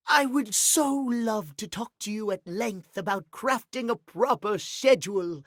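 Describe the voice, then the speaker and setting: A man's voice: high voice, a bit bright, no echo, clean studio sound, heavily acted. A male actor voicing a fussy, romantic timepiece in a video game, with formal, theatrical, precise diction. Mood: panicked outburst. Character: fussy, prim, schedule-obsessed male timepiece, romantic dandy. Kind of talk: video game character acting.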